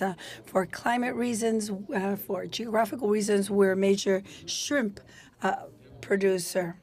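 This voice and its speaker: Woman interpreting live, halting delivery. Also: medium pitch, a little mellow. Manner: halting, many fillers and restarts. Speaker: simultaneous interpreter.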